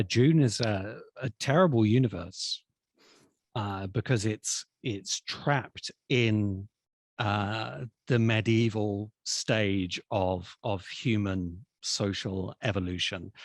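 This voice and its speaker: Male expert guest, lowish voice, deliberate explainer, very dry sound. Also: dry recording. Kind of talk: explanatory conversation.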